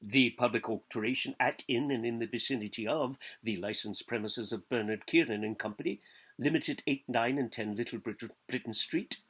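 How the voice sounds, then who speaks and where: A man reading literary prose aloud like a stage actor, declamatory and deliberate, lingering over lists and pausing between phrases. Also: mid-range voice, fairly bright tone, slightly rough.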